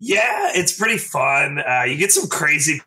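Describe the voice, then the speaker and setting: A man's voice: fairly high pitch, wildly swooping pitch, measured pace, sharp tone. A man from New Orleans, a guest bartender, chatting casually and often laughing. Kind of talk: casual podcast chat.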